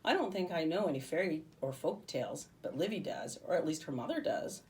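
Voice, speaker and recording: A woman reading a children's story aloud as a teacher would, in an expressive narrating style. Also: low-pitched, a little fast, far from the mic.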